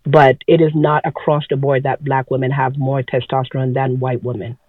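A woman with a deep voice, earnestly correcting others in a casual podcast chat. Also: little pitch movement, normal speed, no room sound, narrow, tinny audio.

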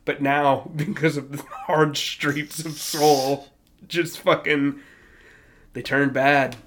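A man's voice: on the high side, little pitch movement, slow pace, fairly bright tone. A young man co-hosting a podcast, riffing comically in an irreverent, sweary, casual way. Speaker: podcast co-host.

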